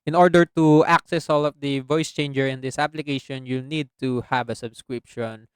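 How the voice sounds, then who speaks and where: A man hosting a casual software tutorial as a voice-over. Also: medium pitch, fairly even intonation, moderate pace, clean tone, dry recording. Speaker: tutorial host. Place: close, dry indoor voice-over recording.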